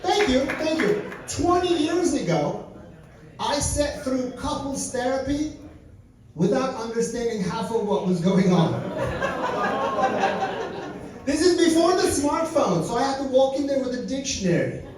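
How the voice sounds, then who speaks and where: A man's voice: very high voice, sing-song, a little slow, long pauses, distant mic, crowd noise. A man, doing a stand-up set with dry, wry storytelling. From Turkey. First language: Turkish. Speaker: stand-up comedian. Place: comedy lounge stage. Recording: echoey lounge, mic from afar.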